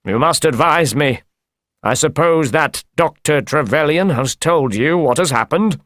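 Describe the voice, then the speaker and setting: A man's voice: a little high, lively intonation, a little slow, a bit bright, no room sound, clean studio sound. Guy reading as a scared fat man in an audiobook, agitated and pleading, voice jumping around. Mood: anxious, polite. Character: very fat, frightened, evasive man. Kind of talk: audiobook character voice.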